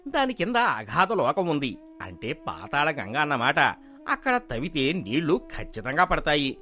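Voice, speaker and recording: A man playing a sly, smooth-talking village moneylender in an acted folk tale, persuasive and condescending. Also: a little high, wildly swooping pitch, moderate pace, sharp tone, full character voice. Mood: scornful, insistent. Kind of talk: dramatized folk moral story acting.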